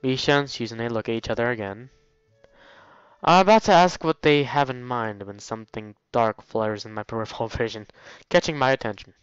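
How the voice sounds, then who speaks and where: A man's voice: mid-range voice, a little fast, smooth, dry recording, lo-fi sound, faint music behind. A man reading a visual novel aloud casually, dropping in jokey commentary as a let's play host. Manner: jokey asides while reading.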